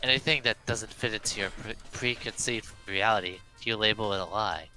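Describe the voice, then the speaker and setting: A man's voice: fairly low pitch, a little fast, bright, forceful tone, low-quality recording. A man playing a haughty, condescending rich young man, cold and pompous, in an amateur game dub. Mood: cool superiority. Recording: faint music behind.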